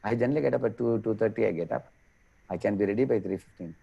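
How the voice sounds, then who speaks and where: A man with an Indian accent, a devotional lecturer answering questions in an online class, explaining patiently with frequent pauses over a video call. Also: lowish voice, gravelly, breathy edge, highs cut off. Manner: unhurried, explanatory, many "uh" pauses.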